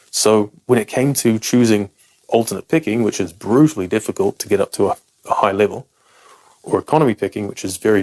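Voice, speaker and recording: A man with a lowish voice and a British accent talks straight to camera as a guitar teacher, breaking down picking technique. He is frank and emphatic and pokes fun at himself as he goes. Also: a little mellow, rough recording. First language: English. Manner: frank, self-deprecating and emphatic.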